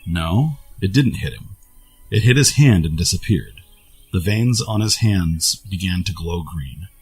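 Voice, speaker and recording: A man's voice: deep voice, animated, unhurried, slightly rough. A man narrating an action audio drama in a scripted storytelling style. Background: music.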